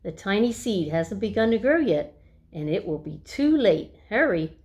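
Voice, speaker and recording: A woman reading a picture book aloud to young children, with expressive, deliberate storytelling and hushed little asides. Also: mid-range voice.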